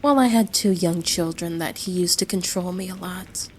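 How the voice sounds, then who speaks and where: A woman playing a caring, motherly American wife in a roleplay audio drama, gentle and reassuring, full of endearments. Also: on the low side, moderate pace, a little hiss or hum, natural voice. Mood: reflective.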